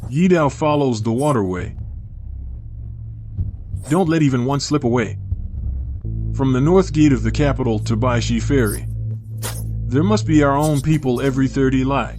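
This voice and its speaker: Guy acting a guarded royal prince, medium-pitched, curt and in charge, period drama dub w/ faint music. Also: normal speed, long pauses, darkish tone, lightly acted. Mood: commanding, urgent. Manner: curt, authoritative orders. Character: commanding, guarded young prince of imperial China.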